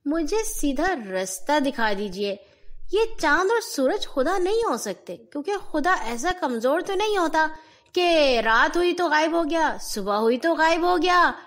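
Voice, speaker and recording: A woman storyteller plays a pondering young seeker in a children's tale. The voice is high and lingers slowly over the words. It is earnest and emphatic, filled with wonder. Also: very animated, a bit bright, heavily acted. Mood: earnest pleading.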